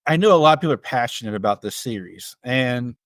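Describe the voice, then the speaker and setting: A man co-hosting a casual film discussion, speaking in a measured, appreciative and somewhat halting way. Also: mid-range voice, expressive, a bit bright, dry recording. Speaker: podcast co-host.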